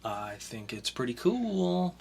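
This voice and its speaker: A man co-hosting a casual podcast, opening with a hyped intro and then chatting relaxed through the news. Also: mid-range voice, takes it slowly, vocal fry, a little hiss or hum. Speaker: podcast co-host.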